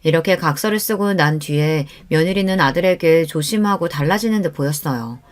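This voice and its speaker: A woman's voice: sits low, brisk. A woman reading a scripted first-person story in the style of a radio story show. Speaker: story narrator.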